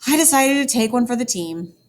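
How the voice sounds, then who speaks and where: A woman teacher reading a children's story aloud, with expressive, dramatic emphasis for young listeners. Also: a little high, fairly quick. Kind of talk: animated children's book read-aloud.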